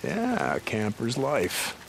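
An actor playing a gruff, weary biker drifter in a post-apocalyptic video game, with terse, muttered delivery. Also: male voice, medium pitch, wildly swooping pitch, drawn-out words, a little hiss or hum, exaggerated voice, rough recording. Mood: wry, guarded. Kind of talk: naturalistic cinematic game acting.

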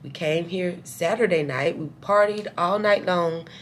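A woman's voice: on the low side, unhurried, a little hiss or hum. An older woman, worn out and chatting casually to her camera in a hotel room. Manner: chatty, says y'all a lot. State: tired. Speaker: vlogger. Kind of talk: casual vlog chat to camera.

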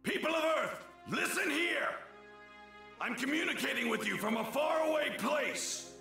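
An actor playing a proud, gruff, imperious warrior who barks blunt orders in an anime game. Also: male voice, very high-pitched, expressive, unhurried, bright, forceful tone, very echoey, soft background music, heavily acted. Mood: commanding. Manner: commanding, blunt, impatient. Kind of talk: English dub of an anime video game cutscene.

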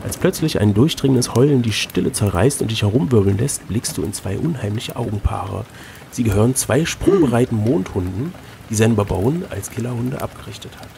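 A man reading an adventure gamebook aloud as host, switching between expressive narration and casual chat. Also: on the low side, a little fast, vocal fry, slight background noise.

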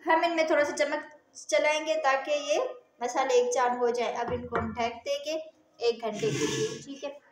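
A woman hosting a home cooking channel, calmly walking through each step of a recipe and often pausing to check in with viewers. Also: high-pitched. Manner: calm, instructive, often ends steps with a check-in. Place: home kitchen, indoors. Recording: echoey room with sizzling noise. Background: frying and sizzling from the pan.

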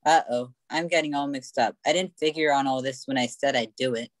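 Guy doing a reluctant slangy kid in an old radio play, middling pitch, dry sound. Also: brisk, no room sound, natural voice. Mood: flustered, reluctant. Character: slangy, reluctant young boy roped into pretending. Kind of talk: amateur old-time radio play acting.

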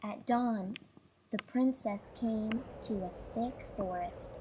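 A woman's voice: a little high, slow, noisy background. A woman reading a children's fairy tale aloud as a storybook narrator. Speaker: storybook reader.

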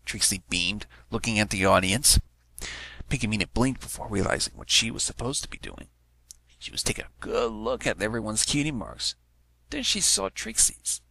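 Deep, creaky, animated man reading a story. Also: moderate pace, low-quality recording. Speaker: fan fiction narrator. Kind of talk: scripted story narration, read aloud.

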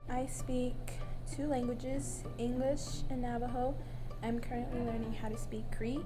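A woman's voice: on the high side, level tone, a little slow, over music. A teenage girl in a documentary interview, matter-of-fact and serious. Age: teens. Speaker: student interviewee.

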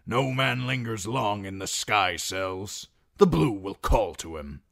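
A man voicing a gruff, indignant lord in a fantasy audiobook, growling his words forcefully. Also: low voice, lively intonation, normal speed, exaggerated voice. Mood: gruff, grim. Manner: forceful, blustering. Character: gruff, growling, indignant old-guard nobleman.

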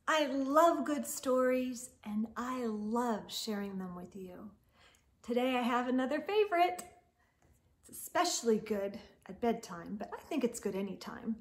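A woman in her forties reads aloud for young children. Her voice sits high and moves at an unhurried pace. She leans on words with animated, drawn-out emphasis, as a picture-book storyteller does. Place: indoors, small room lined with bookshelves.

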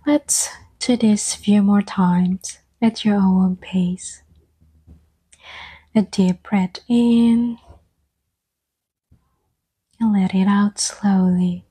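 A woman speaks softly in a slow, soothing ASMR meditation style. Her voice is mellow and breathy, often thinning toward a whisper. She leaves long, gentle pauses between short phrases. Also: medium pitch, steady tone. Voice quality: soft-spoken, breathy, often slipping into whisper. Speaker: ASMR guide and host.

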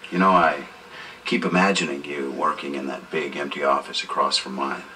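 A man's voice: extremely low pitch, fairly even intonation, moderate pace, sharp tone, heavily acted. A man acting as a sleazy, insinuating boss in a workplace training drama. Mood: smarmy. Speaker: actor in a training film. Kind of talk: acted corporate training drama. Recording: distant, noisy, re-filmed off a TV.

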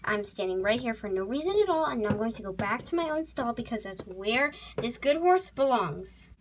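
A woman's voice: fairly high pitch, expressive, moderate pace, noisy background, close to an everyday voice. A woman voicing a timid, sneaky male horse who stammers and repeats himself to placate others in an animal role-play drama. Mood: flustered, evasive.

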